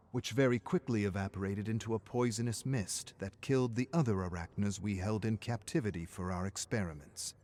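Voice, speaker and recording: Male actor as weary survivor scientist, lowish pitch, grave, measured delivery, hushed phrase endings, dramatic game audio log. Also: natural voice. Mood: cautiously relieved. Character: weary male survivor scientist recording logs of a monster invasion.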